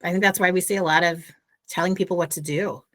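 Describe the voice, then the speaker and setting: Earnest American woman, low dry voice. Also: fast, no echo. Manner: earnest, animated explaining with asides. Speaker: author and guest. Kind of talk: conversational interview.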